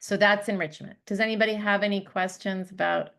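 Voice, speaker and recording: A woman's voice: medium pitch, steady tone, brisk, creaky, fairly bright tone. A woman presenting a school update on a video call, chatty and upbeat, with many fillers and restarts. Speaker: religious school director.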